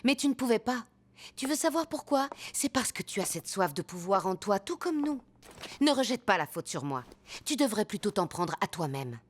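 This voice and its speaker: A woman's voice: mid-range voice, big pitch swings, normal speed. A woman voicing a smug, condescending female cartoon villain who taunts in a theatrical way. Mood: mocking. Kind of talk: animated series dub.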